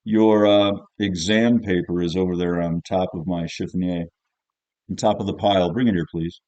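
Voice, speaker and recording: A man voicing a sarcastic old male history teacher in an audiobook, scolding and insistent, with slow, drawn-out emphasis. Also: deep voice, fairly even intonation, mellow, muffled recording, lightly acted. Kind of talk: audiobook character voice.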